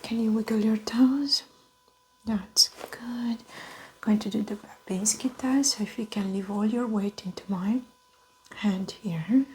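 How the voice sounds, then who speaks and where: Slow, whispering woman in ASMR doctor roleplay. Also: medium pitch, slight background noise. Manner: gentle, methodical clinical instructions and observations. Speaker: ASMR performer playing a doctor. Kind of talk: soft-spoken whispered ASMR medical roleplay.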